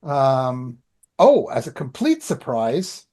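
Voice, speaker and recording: A man's voice: mid-range voice, wildly swooping pitch, slow pace, mellow. Rambling, self-deprecating Canadian man, chatting casually. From Ottawa area, Canada. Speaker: podcast co-host and author. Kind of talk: casual chat, personal updates.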